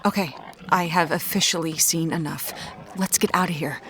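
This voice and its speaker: An actress playing a determined, blunt amateur detective in an audio drama, matter-of-fact and wry. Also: female voice, on the low side, fairly even intonation, moderate pace, fairly bright tone, a little hiss or hum, close to an everyday voice. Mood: urgent.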